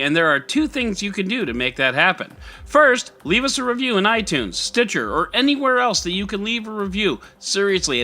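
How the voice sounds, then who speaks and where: A man's voice: sits high, sharp tone. A man hosting a tech news podcast, reading scripted items with jokey, mock-dramatic and sarcastic asides. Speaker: podcast host.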